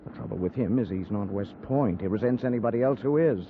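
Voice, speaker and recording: An actor playing a cocky, self-assured young US cavalry lieutenant in a 1950s radio drama, with a breezy way of talking. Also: male voice, fairly low pitch, fast, lightly acted. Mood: scornful. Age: young adult. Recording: muffled, noisy 1950s radio recording.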